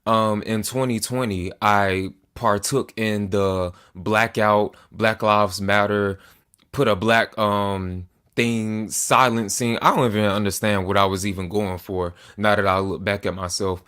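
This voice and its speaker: A man in his twenties speaks straight to the camera in an earnest, measured way. His voice sits a little on the low side, and he sometimes stumbles and restarts a phrase. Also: fairly even intonation, a bit bright, clear, resonant tone. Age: 20s. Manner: earnest, deliberate, with small restarts. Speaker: political commentator.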